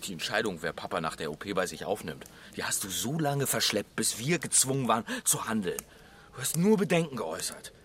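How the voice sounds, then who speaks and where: A man's voice: on the low side, sing-song, brisk, fairly bright tone, slight background noise. An actor in a radio drama playing a stressed, well-off brother in his forties, accusing, sarcastic and self-righteous. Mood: reproachful. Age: 40s.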